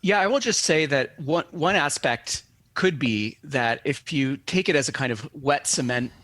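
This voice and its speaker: Male scholar for an interview, crisp bright edge, dry close sound, measured and analytical phrasing. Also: medium pitch, dry recording. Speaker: guest academic expert.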